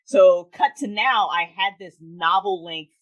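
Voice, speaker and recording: A woman with a medium-pitched voice talks casually as an interview guest. She is animated and rambles freely. Her way of talking is self-deprecating and informal. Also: a little slow, muffled recording. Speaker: podcast guest, author and streamer. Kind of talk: casual chat.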